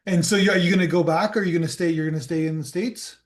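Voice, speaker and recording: A man hosting a podcast interview, relaxed and chatty, encouraging his guest. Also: sits high, very fast. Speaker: podcast host. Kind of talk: casual interview.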